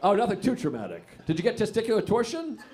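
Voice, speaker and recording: A man's voice: high voice, huge pitch swings, quick pace. A man doing stand-up crowd work, teasing the audience and laughing through his lines on a club stage. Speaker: stand-up comedian. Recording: echoey club with loud crowd noise.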